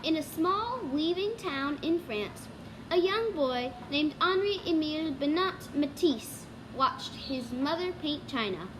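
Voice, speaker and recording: A woman's voice: high voice, lively intonation, takes it slowly. A female student reading a children's storybook aloud, with noise behind the voice. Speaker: student reader. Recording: clearly noisy background.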